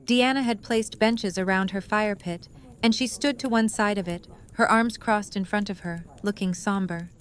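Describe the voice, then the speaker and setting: A woman reading scripted third-person story narration for an audio drama. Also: mid-range voice.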